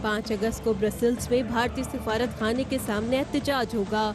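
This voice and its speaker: Woman news narrator, Pakistani Urdu accent, fairly high voice, music underneath. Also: fairly even intonation, moderate pace. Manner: crisp headline delivery. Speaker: news voice-over. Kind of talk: scripted news package narration. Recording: music bed behind voice.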